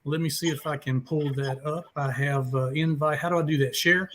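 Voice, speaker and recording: Male host for a live music interview: higher-than-average voice, casual and rambling delivery. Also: steady tone, moderate pace, fairly bright tone, slight background noise. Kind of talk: casual unscripted interview chat. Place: remote live stream call.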